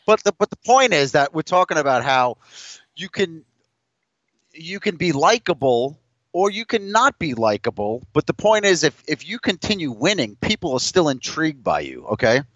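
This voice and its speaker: A combative man in his late thirties argues his case bluntly, his voice swinging widely up and down and sitting a little high for a man. The sound is dull and lo-fi and completely dry. He keeps talking over the other person. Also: sing-song, moderate pace, fairly bright tone, no room sound. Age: 30s. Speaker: podcast guest. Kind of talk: heated debate.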